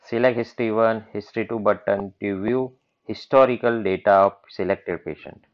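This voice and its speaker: A man giving a plain, matter-of-fact walkthrough of software over a screen recording. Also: fairly low pitch, fairly even intonation, normal speed, lo-fi sound. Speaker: software demonstrator.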